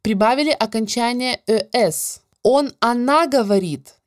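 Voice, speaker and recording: A woman teaching a French grammar lesson, explaining clearly and stretching out the example words. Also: a little high, measured pace, no room sound, clean studio sound. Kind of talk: scripted grammar lesson narration.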